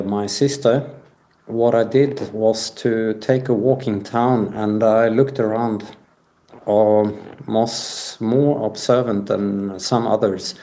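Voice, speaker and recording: Slow-talking man, halting and low. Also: mellow. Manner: halting, hesitant phrasing. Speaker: podcast guest. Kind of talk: personal account in an interview.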